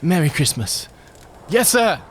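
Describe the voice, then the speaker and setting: A man playing a meek, kindly, timid poor clerk in a radio play, deferential and hesitant, with a husky voice. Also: a little high, sing-song, a little slow, a little hiss or hum. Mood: timid, polite.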